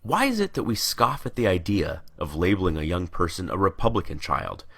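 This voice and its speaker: Male narrator, low register, highly varied intonation, deliberate and emphatic rhetorical delivery. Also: sing-song, vocal fry, a bit bright, breathy edge. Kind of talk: scripted rhetorical monologue.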